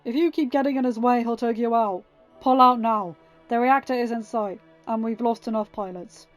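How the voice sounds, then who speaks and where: A woman's voice: fairly high pitch, steady tone, normal speed, faint music behind, close to an everyday voice. A woman voicing an urgent, commanding male pilot and father who gives orders, in a fan fiction reading. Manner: instructing, shouted orders over battle.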